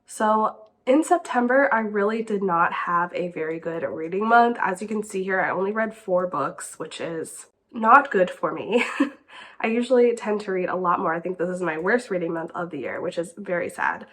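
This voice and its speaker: A woman's voice: on the low side, animated, normal speed, a bit bright. A young woman with a stuffy, congested nose chats casually as host of her book channel, giggling and saying "like" often. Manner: chatty, giggly, says "like" often. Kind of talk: casual vlog chat.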